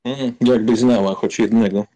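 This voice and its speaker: An actor playing a hot-tempered, proud young count, impatient and ironic, in a dramatized audiobook. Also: male voice, mid-range voice, fairly even intonation, normal speed, mellow, natural voice, poor audio.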